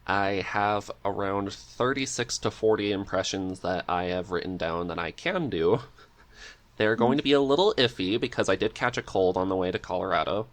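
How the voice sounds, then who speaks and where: A man's voice: lowish voice, normal speed. A man talking casually as a podcast guest, chatty and eager in manner.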